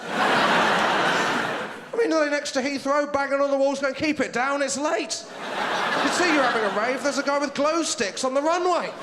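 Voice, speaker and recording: A male comedian hosting a TV stand-up show, finishing a set and then shouting out the acts to a live studio audience. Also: very high-pitched, moderate pace, long pauses, very noisy. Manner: loud, shouted announcements to the crowd. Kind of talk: stand-up routine and hosting links. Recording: roomy studio with a roaring crowd.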